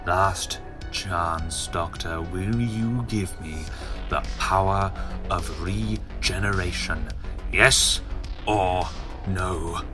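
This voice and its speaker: An actor playing a gloating, taunting villain in an audio drama, with a drawn-out, mocking, theatrical delivery. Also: male voice, low voice, over music.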